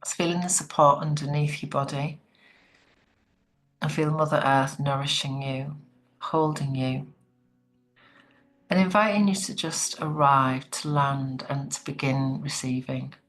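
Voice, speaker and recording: A woman leading a guided relaxation meditation in a soothing, gentle, unhurried manner. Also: a bass voice, steady tone, faint music behind, rough recording.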